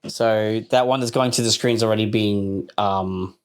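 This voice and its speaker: A man's voice: on the low side, steady tone, a little slow, clean tone. A man co-hosting a casual tech podcast, bantering and riffing in a jokey, playful way. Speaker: podcast co-host.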